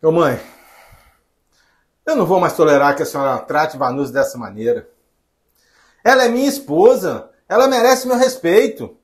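A man's voice: mid-range voice, normal speed, pauses often, breathy edge, lightly acted. A man playing a hesitant, earnest husband and grown son torn within his family in a domestic drama, with a Brazilian accent. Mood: firm, earnest.

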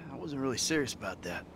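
A man's voice: mid-range voice, big pitch swings, normal speed, natural voice. An actor playing a jaded, hot-tempered, wealthy middle-aged American man in a video game, sardonic, threatening and profane. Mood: weary. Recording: game audio over car and street noise.

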